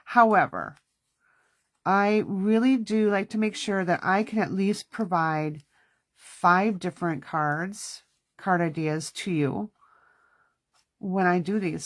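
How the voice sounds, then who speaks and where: A woman's voice: fairly low pitch, no room sound, clean studio sound. Stuffy-nosed woman chatting through a craft demo. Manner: chatty, self-talking while working. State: stuffy nose. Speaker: craft class host. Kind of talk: casual hands-on craft demonstration.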